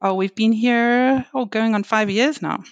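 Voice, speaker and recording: A middle-aged woman from South Africa with a South African accent, a guest chatting reflectively with frequent fillers and soft laughs. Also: fairly high pitch, unhurried, no room sound, dull audio. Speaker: guest, reflexology and Reiki practitioner. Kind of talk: casual interview chat.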